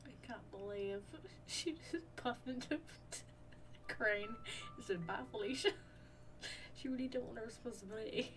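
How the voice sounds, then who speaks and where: A woman's voice: on the high side, a little slow. A woman giving casual, playful, slangy reaction commentary as she plays a story game. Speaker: gaming content creator. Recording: loud game music behind. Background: loud game music.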